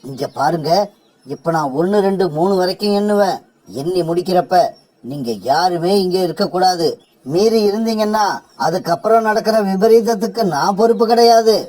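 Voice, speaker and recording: A man's voice: high voice, normal speed, darkish tone, rough recording. A man voicing a boastful, troublemaking male crow in a children's cartoon story. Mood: menacing.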